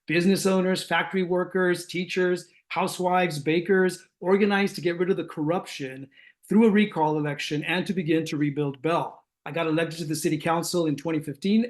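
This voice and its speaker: Middle-aged man with a higher-pitched voice. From Los Angeles area, United States. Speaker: school board candidate and teacher. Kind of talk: prepared campaign introduction speech.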